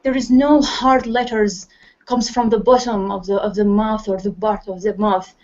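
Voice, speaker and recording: A woman's voice: mid-range voice, level tone, moderate pace, a little mellow. Iraqi woman teaching over a call. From Iraq. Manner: hesitant, many fillers and restarts. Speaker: English class teacher. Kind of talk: online class instruction. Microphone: computer mic on a video call. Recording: lo-fi online call audio.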